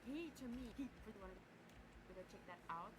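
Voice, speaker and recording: A woman's voice: medium pitch, animated, moderate pace. Woman with an Afrikaans accent over noisy playback. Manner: hesitant, restarts sentences. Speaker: anime reviewer. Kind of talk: scripted voiceover ranking characters.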